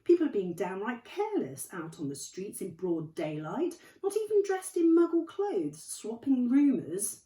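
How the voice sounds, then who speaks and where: Stern, clipped older witch teacher, put on by a woman reading aloud, lifted high and lively, roomy recording. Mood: impatient. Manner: brisk, clipped and impatient. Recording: echoey room, distant mic.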